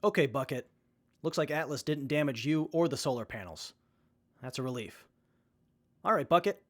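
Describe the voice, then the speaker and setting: An actor playing an earnest, keen young mechanic in an audio drama, with a slightly hesitant, apologetic manner. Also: male voice, fairly high pitch, expressive, moderate pace. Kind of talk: post-apocalyptic audio drama.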